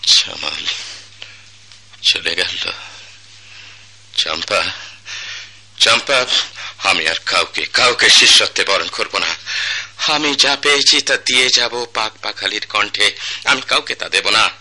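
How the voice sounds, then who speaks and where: Stern older music master, forceful and low. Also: male voice, takes it slowly, pauses often, heavily acted. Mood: bitter, solemn. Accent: Bangladeshi. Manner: solemn, commanding, declamatory. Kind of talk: radio drama acting.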